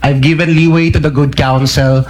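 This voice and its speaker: A man, a prosecutor questioning a witness in court, mixing Tagalog and English and speaking politely with measured pauses and fillers. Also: a little high, fairly even intonation, soft background music, low-quality recording.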